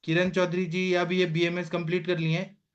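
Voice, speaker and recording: A man's voice: high voice, completely flat delivery, normal speed. Emphatic man on a muffled video call. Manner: direct, emphatic, rhetorical questions. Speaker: Ayurvedic doctor hosting an online consultation session. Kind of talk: casual instructive talk.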